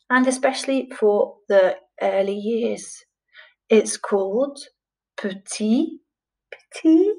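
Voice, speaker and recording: A woman in her forties teaching young children through a picture book, speaking in a carefully paced, expressive way. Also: mid-range voice, distant mic, rough recording. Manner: slow, clearly paced, with expressive questioning intonation. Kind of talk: storytime lesson for young children. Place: small plain room indoors.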